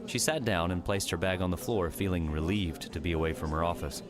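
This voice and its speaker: A man narrating a scripted audiobook story in a measured, dramatic storytelling manner. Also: sits low, over music.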